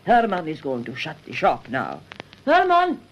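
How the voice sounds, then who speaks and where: A woman's voice: low-pitched, wildly swooping pitch, measured pace, muffled recording, heavily acted. A motherly immigrant shopkeeper woman, speaks. She is encouraging and sympathetic, her intonation rising and falling freely, with slight noise behind her. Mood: friendly. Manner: coaxing, fond, encouraging. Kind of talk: radio drama acting.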